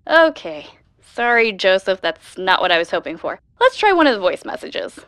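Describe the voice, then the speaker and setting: A woman's voice: mid-range voice, very animated, normal speed, a bit bright, smooth, no echo, studio quality. A woman playing an upbeat, persistent young podcast host, chatty and optimistic, in an audio drama.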